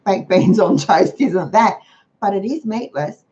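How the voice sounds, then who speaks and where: An older Australian woman with a hoarse, croaky voice, hosting a chatty livestream from her home dining room over a webcam. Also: expressive, a little slow, dark tone. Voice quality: chatty, homely manner. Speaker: host of a frugal living livestream. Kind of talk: casual livestream talk. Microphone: webcam on a computer. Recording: dull low-fi webcam sound with faint noise.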